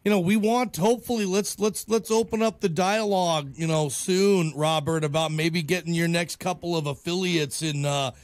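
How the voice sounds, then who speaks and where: A man's voice: on the high side, fairly even intonation, normal speed, few pauses, dry recording. A man hosting a sports talk radio show, chatting casually in a chummy, informal way. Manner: chummy, informal, calls guest "man" and "brother". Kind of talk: casual live radio chat. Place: radio studio.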